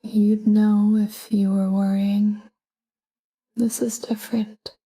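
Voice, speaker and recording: A woman speaks in a soft, breathy half-whisper, guiding a sleep meditation. Her delivery is slow and even, with a mellow tone that stays calm and gentle throughout. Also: medium pitch, low-quality recording. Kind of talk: scripted guided sleep meditation, soft spoken.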